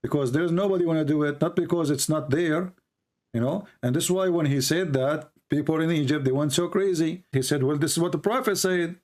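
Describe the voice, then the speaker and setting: A man's voice: a little high, brisk, darkish tone. A man hosting a live call-in debate, firing repeated pointed questions at a caller. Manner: pressing, repetitive questioning. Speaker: debate show host.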